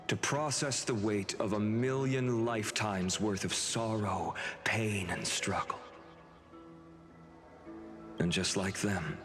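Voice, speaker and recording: An actor playing a calm, compassionate male guide in an anime game, measured, deliberate and solemn. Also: fairly low pitch. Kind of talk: dramatic anime game voice acting.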